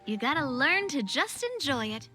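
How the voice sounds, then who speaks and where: A woman's voice: high voice, big pitch swings, moderate pace, sharp tone, faint music behind, full character voice. A woman playing a confident, upbeat, breezy young female leader in a video game. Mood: carefree. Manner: self-assured, breezy.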